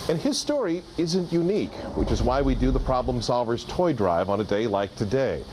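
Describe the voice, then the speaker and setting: A man's voice: medium pitch, very animated, normal speed, noisy background. A man presenting an appeal to television news viewers.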